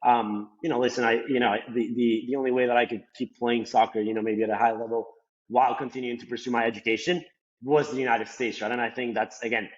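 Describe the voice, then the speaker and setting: A man's voice: mid-range voice, steady tone, quick pace. A young man from Colombia, a native Spanish speaker with a Colombian accent, answering as a podcast guest in a loose, rambling way full of "like" and "you know.". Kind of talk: casual interview talk. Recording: slightly dull lo-fi sound.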